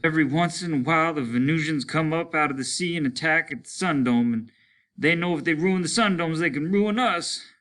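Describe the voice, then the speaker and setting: Older male astronaut for a sci-fi storybook, performed by a man: high, bright, breathless, excitable, with a bitter wry streak. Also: brisk, lightly acted. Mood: grim, wry. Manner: animated, breathless exclaiming.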